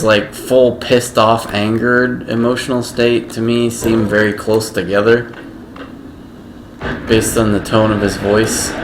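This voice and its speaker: Slow, monotone low-voiced man. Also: slight background noise. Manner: slow, halting, self-described monotone. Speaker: gaming video creator. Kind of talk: casual gameplay commentary.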